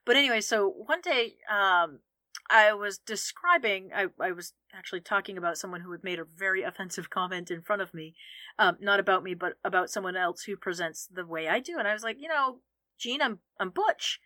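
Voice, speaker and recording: A woman co-hosting a podcast, with a bright, forceful edge to her voice. She chats candidly and tells lively stories, often restarting her sentences. The sound is close and dry, with no room around it. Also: medium pitch, fairly quick, no room sound. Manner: candid, animated storytelling with frequent restarts. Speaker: podcast co-host. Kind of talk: casual conversational chat.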